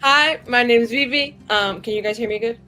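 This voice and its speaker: Very bright-voiced chatty woman over music. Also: fairly high pitch, brisk. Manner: chatty, slangy, filler-heavy. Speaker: tabletop roleplaying player. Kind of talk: casual, joking character introduction.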